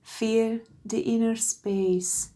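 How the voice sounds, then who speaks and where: A woman guiding a meditation in a soft, soothing, gentle voice with breathy phrase endings. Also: fairly high pitch, steady tone. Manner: soothing, gentle, unhurried with long pauses. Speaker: meditation guide. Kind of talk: guided meditation narration.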